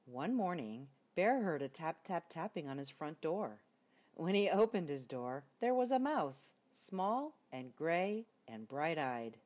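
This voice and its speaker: An older woman reading a children's storybook aloud expressively, as a grandmother would, with deliberate emphasis. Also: deep voice, very animated, a little mellow.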